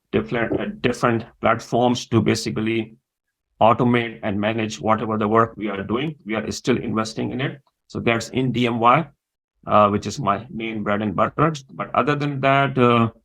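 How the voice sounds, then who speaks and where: Man, Urdu speaker, fry in the voice, hesitant delivery, interview guest. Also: mid-range voice, a bit bright, poor audio. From Pakistan. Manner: halting, many pauses and fillers. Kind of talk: interview conversation.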